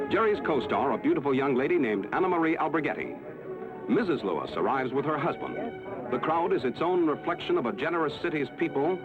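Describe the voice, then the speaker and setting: A man narrates an old newsreel in a measured, formal announcer manner, his pitch rising and falling with lively emphasis. The sound is thin and tinny, like an old film soundtrack, with music playing clearly underneath. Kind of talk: scripted 1960s newsreel narration.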